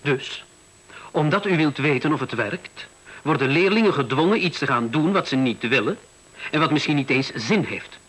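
An actor playing a sceptical investigator who questions persistently and in measured words, in a Dutch radio play. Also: male voice, a little high, animated, a bit bright, poor audio. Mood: confronting. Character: probing, sceptical male investigator writing a report. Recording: faint hiss, old radio recording.

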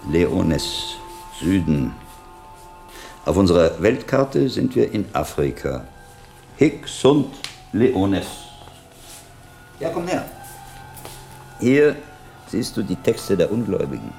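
An actor playing a learned middle-aged monk detective who muses patiently and probingly, thinking aloud in a radio drama. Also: male voice, low voice, very animated, slow, gravelly, pauses often, a little mellow, soft background music, heavily acted. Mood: curious, instructive. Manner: scholarly, musing, thinking aloud. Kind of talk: German radio drama acting.

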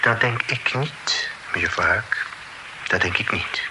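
A stiffly formal young gentleman who stumbles over his words. His voice sits on the low side. There is a clear hiss behind him, as on an aged radio broadcast. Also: slow. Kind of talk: radio drama acting. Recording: noisy old radio broadcast.

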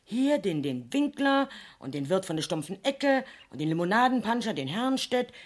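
A chatty, plain-spoken Berlin woman in a seventies radio crime drama. Her voice is rough-edged and husky, swinging widely in pitch as she talks in blunt, colloquial Berlin German. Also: very animated, normal speed. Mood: eager.

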